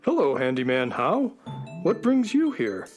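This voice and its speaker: An actor playing a mild, dry sidekick in a children's radio drama. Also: male voice, a little high, wildly swooping pitch, a little slow, lo-fi sound, soft background music. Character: calm male sidekick, dry and reluctant.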